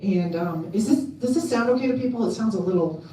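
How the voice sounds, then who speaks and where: A woman with a loud voice giving a casual talk to a convention audience, chatty and full of ums and restarts. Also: medium pitch, brisk, a little hiss or hum. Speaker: convention speaker. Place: convention hall. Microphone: handheld mic on a stand. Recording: echoey hall, mic at a distance.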